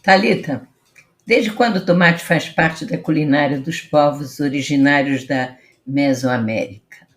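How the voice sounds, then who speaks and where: A woman's voice: sits low, a little slow. Woman hosting a food-history podcast. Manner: friendly, introducing guests. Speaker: host.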